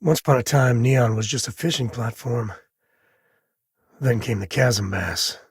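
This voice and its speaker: A man playing a roguish frontier ranger and protective father in a space video game, with a husky voice and a folksy, wry manner. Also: medium pitch, unhurried, no room sound, studio quality. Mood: easygoing, candid. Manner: folksy, wry, casual drawl of words. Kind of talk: video game voice acting, short dialogue lines.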